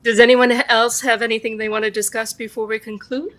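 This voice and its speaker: A woman playing a measured, supportive group leader in an audio drama, gentle and composed with light amusement. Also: a little high, flat delivery, lightly acted. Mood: amused, calm.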